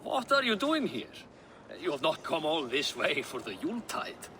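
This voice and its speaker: A weary older Norse official with a slightly high, very lively voice. He talks in a cautious, reasoned way, and clear noise sits under the audio. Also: normal speed, full character voice. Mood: warm. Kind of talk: video game cutscene acting.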